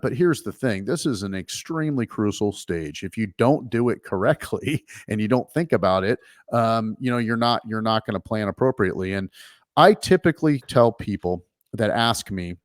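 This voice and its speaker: A man's voice: fairly low pitch, dry recording, studio quality. A middle-aged man hosting a finance talk show, explaining things casually with many fillers and restarts. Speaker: financial advisor and show host.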